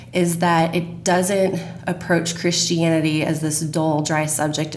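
A woman talking casually as a producer guest on a podcast. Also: low-pitched. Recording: roomy echo, noticeable background noise.

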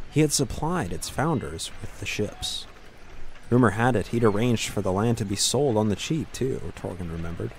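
A man's voice: lowish voice, a little hiss or hum. A man narrating a scripted fantasy story.

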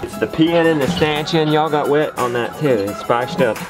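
A man's voice: a little high, normal speed. Folksy man chatting, music behind. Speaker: homesteading vlogger, father. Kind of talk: casual vlog talk while doing farm chores.

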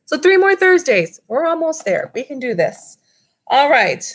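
A woman's voice: sits high, very animated, unhurried. A woman in her thirties reading a children's book aloud as a school librarian, with casual asides to the listeners. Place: small wood-panelled room at home. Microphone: webcam or laptop at desk distance.

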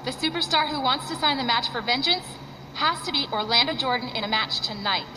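A woman's voice: sits high, brisk, close to an everyday voice. An actress voicing a young, assertive female wrestling valet in a video game, speaking firmly. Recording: distant game audio with loud noise.

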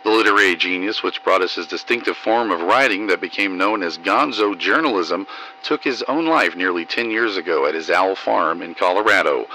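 A man hosting, reading scripted material in a declamatory, deliberate way. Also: low-pitched, bright, forceful tone, a little hiss or hum, rough recording. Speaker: host. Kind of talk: scripted news narration and poem reading.

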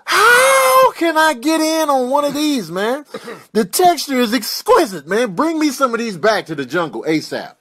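A man voicing an awestruck, gushing monkey in a comedic voice-over, raving effusively with drawn-out words. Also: extremely high pitch, huge pitch swings, exaggerated voice. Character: gushing, awestruck monkey fond of fancy fabric. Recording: noisy video clip audio.

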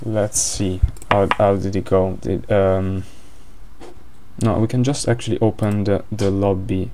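A man's voice: lowish voice, flat delivery, unhurried, noisy background. A man with an Italian accent commentating a board game live, hesitant, with many fillers and restarts.

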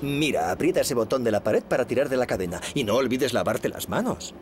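A man playing a patient, cheerful young father in a Castilian Spanish cartoon dub, explaining things gently and encouragingly. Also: medium pitch, expressive, brisk, natural voice. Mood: reassuring. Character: patient, cheerful young dad of a small boy. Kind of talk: children's cartoon dubbing.

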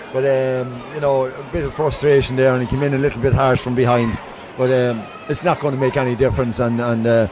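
An Irish man giving conversational analysis as co-commentator at a live Gaelic football match. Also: mid-range voice. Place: outdoor stadium stand. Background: light crowd noise.

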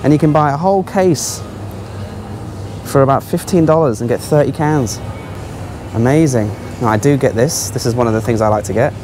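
A man's voice: mid-range voice, normal speed, over music. A man giving casual, offhand, exclaiming commentary as a travel vlogger walking around a supermarket. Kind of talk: casual running vlog commentary. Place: large supermarket aisles.

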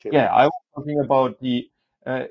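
A man's voice: mid-range voice, fairly even intonation, normal speed, breathy edge, no echo. A man moderating a technical panel and speaking off the cuff. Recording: dull, lo-fi online call audio.

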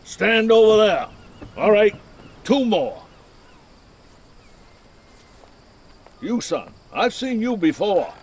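An actor playing a wary, folksy old lighthouse keeper in an audio drama, gruff and drawling. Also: male voice, high-pitched, big pitch swings, slow pace, slight background noise, heavily acted. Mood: wary, commanding. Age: older.

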